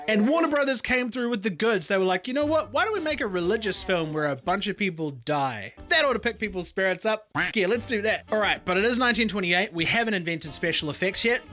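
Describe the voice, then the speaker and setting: A man's voice: high-pitched, bright, forceful tone. A man giving a sarcastic, profane comedic history commentary as a video host.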